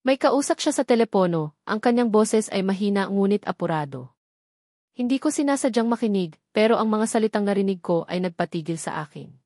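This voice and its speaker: A woman's voice: mid-range voice, animated, fairly quick, a little mellow, dry recording. Filipino woman narrating drama, dry close sound. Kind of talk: scripted dramatic story narration.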